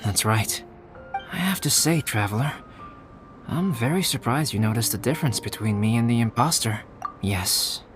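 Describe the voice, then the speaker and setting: A man voicing a calm, scholarly young male alchemist in a video game, soft-spoken and measured as he explains things. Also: medium pitch, lightly acted. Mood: explaining. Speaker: game character. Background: game music.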